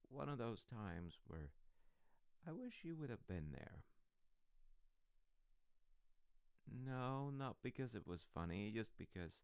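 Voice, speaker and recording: A sheepish, loving husband played by a male voice actor talks in a low, gravelly voice. His delivery is intimate ASMR roleplay, swooping up and down as he teases and rambles through hesitant asides. The sound is close and dry. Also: dry recording, exaggerated voice. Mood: sheepish, wry. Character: loving, sheepish, sassy husband confessing to his sleepy partner.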